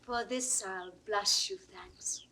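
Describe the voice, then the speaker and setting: An actress playing a gentle, modest young princess in soft, deliberate classical Shakespearean style. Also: female voice, medium pitch, gravelly, exaggerated voice. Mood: modest, steadfast. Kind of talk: classical Shakespearean stage acting, 1960s audio recording. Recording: faintly noisy old drama recording.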